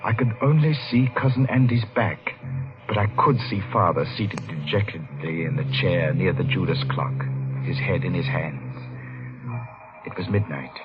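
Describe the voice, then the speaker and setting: A man's voice: low-pitched, lively intonation, normal speed. An actor playing a haunted man recounting his boyhood in dread, in the ornate, suspenseful style of old-time radio horror. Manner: dramatic, ornate, suspenseful delivery. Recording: muffled vintage radio, music underneath. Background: dramatic music.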